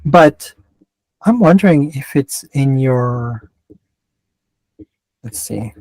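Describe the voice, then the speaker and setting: A man's voice: mid-range voice, expressive, very slow, darkish tone, no echo, low-quality recording. A man with a mixed Canadian and West Coast American accent giving a casual astrology chart reading, rambling and often interrupting himself. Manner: rambling, self-interrupting, digressive.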